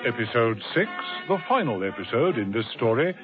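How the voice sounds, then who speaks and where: Lively male radio drama narrator. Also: mid-range voice. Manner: dramatic, measured storytelling. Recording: tinny old radio broadcast with music.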